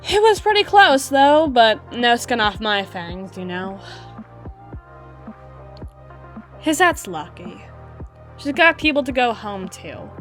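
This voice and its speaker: A woman playing a wistful, guarded female half-spider bouncer in an intimate roleplay monologue, musing with dramatic pauses and soft trailing phrases. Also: a little high, sing-song, faint music behind. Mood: bitter, resigned. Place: close-miked recording with music playing behind.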